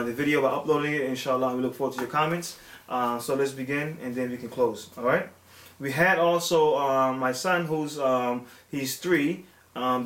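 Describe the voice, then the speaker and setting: A man in his thirties, a father and religious teacher, gives an informal talk to viewers with many pauses, fillers and restarts. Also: mid-range voice, fairly bright tone. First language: English. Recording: echoey room.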